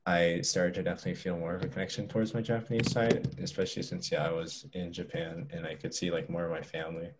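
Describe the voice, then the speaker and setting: A man's voice: low voice, darkish tone. A young man as an interview guest, talking casually and hesitantly with many fillers and restarts.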